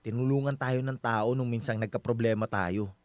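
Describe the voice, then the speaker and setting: A man's voice: mid-range voice, normal speed, dry recording, natural voice. A man acting as a trusting, stubborn husband in a Filipino radio drama, talking in a casual, persuasive way. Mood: defensive.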